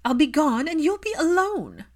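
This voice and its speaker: Imperious ailing noblewoman and mother, played by a female narrator, higher-pitched, swooping, strained and insistent, for story narration. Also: very animated, normal speed. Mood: pleading. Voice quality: strained, emotional. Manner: emphatic, imperious.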